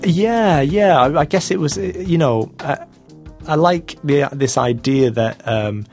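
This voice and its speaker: A man's voice: fairly high pitch, a little slow, a little mellow, soft background music. A man in his thirties with a Manchester accent, a guest speaking casually in an interview, hesitant with many fillers and restarts. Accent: Manchester English. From England. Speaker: guest artist.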